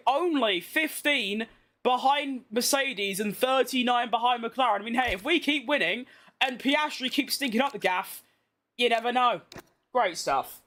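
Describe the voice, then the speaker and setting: Tired, chatty British man, very high voice. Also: sharp tone. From Britain. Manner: chatty, rambling, self-deprecating. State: tired from being awake all day. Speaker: gaming streamer. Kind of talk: casual live-stream gameplay chat.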